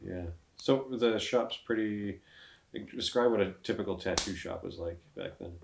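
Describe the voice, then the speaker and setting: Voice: deep voice, normal speed, gravelly, a little hiss or hum. A podcast interviewer asking questions in a casual, conversational way.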